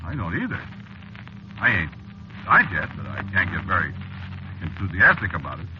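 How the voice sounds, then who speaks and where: An actor playing a talkative, uneasy American cab driver with slangy, ungrammatical speech in an old radio drama. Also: male voice, low-pitched, huge pitch swings, moderate pace. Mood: puzzled, wary. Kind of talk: old-time radio drama acting, first-person narration and dialogue. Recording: muffled, noisy vintage radio recording.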